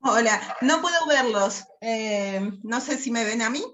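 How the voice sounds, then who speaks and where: An Argentine woman speaks slowly and haltingly, with many pauses as she introduces herself over a video call. Her pitch sits in an ordinary middle range. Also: expressive, noisy background. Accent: Argentine Spanish. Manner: unhurried, hesitant with fillers. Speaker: physician, course participant.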